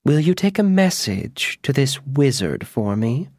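A sly old storybook witch who speaks slowly in a hushed, silky voice, as a male narrator puts her on. Her lines are quiet and unhurried, close and dry. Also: medium pitch, very animated, no echo.

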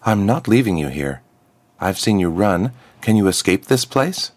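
A man's voice: sits low, big pitch swings, moderate pace, a little mellow. A man voicing a winged young princess who speaks with earnest urgency.

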